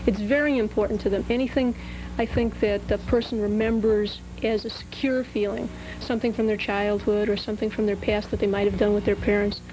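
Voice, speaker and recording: A woman's voice: medium pitch, level tone, a little fast, noisy background. A woman, a shop worker talking in an interview. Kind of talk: interview talk.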